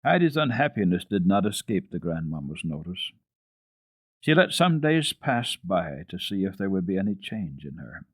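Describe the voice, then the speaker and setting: A man narrating a children's storybook dramatically, with expressive, deliberate phrasing and pauses. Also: lowish voice, no room sound. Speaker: reader of children's classics on a radio program.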